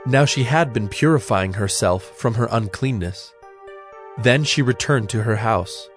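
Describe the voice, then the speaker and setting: A man's voice: medium pitch, unhurried. Formal male scripture narrator, music behind.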